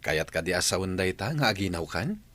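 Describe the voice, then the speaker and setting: Animated young husband. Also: male voice, low-pitched, fairly quick, no echo, full character voice. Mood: bewildered, teasing. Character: bantering, put-upon but obliging young husband. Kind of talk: radio drama acting.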